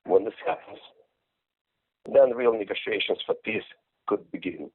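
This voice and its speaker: A middle-aged man from Ukraine giving measured, formal interview answers over a muffled phone call. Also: lowish voice, husky. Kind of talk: considered, prepared interview answers.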